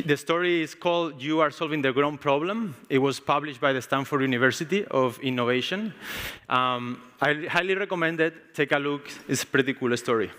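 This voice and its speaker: Spanish-accented man in his 30s, conference speaker, bright tense voice, long hall reverb, relaxed and chatty with ums. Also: medium pitch. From Spain. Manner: casual, frequent ums and restarts. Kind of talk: tech conference talk. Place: large conference stage.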